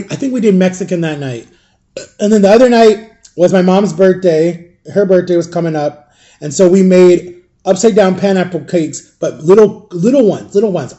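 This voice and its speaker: A man's voice: high-pitched, mellow. A man in his thirties from Florida, telling a rambling storytime to his viewers and correcting himself as he goes. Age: 30s. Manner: rambling, self-correcting, chatty. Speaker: vlogger. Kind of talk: casual storytime chat to viewers.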